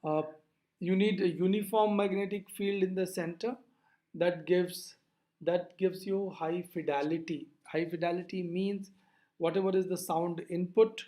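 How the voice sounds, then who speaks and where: A lecturer explaining physics over slides in a deliberate, didactic way, posing questions and then answering them. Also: mid-range voice, dark tone.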